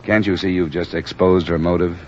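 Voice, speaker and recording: An actor playing a hard-boiled insurance investigator in a 1950s radio drama, clipped and matter-of-fact. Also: male voice, low-pitched, fairly even intonation, brisk, slight background noise, lightly acted. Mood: tense, probing. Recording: dull old radio transcription with music.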